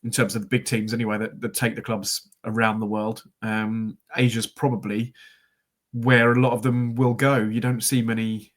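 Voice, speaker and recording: A man's voice: lowish voice, flat delivery, normal speed. Bunged-up man, chatty. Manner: chatty, rambling, full of ums. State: congested with a cold. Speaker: podcast co-host. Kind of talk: casual football podcast chat.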